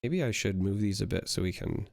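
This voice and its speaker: A man's voice: on the low side, lively intonation, brisk, gravelly, a little mellow, no room sound, clean studio sound. A young man chatting casually while opening card packs, rambling with lots of fillers and restarts, his voice still a little worn from being sick. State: recovering from illness, voice not fully back. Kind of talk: casual pack-opening commentary.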